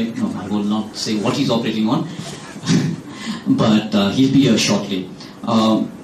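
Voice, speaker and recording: A man's voice: mid-range voice, a little mellow, slight background noise. A man with an Indian accent introducing speakers at a student event, teasing and joking. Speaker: vice president, introducing speakers. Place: lecture hall. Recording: echoey hall, distant mic.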